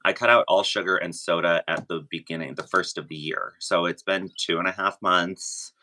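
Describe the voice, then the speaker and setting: Male guest, very low but bright, forceful voice, casual and halting conversational delivery. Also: fairly even intonation. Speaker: podcast guest.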